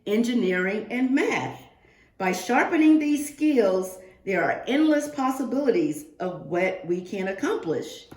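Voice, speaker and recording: Echoey woman reading aloud to children. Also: medium pitch. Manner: expressive, addressing children with questions. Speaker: guest reader for a second-grade class. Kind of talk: read-aloud of a children's picture book with asides to pupils. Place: indoors, reading remotely to a class. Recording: roomy, echoing sound of a virtual class reading.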